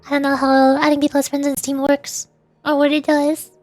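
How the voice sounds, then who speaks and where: High-voiced chatty young woman streamer. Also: monotone, a little fast, faint music behind. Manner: rambling and chatty, with frequent laughs and restarts. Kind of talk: casual chat while streaming a game.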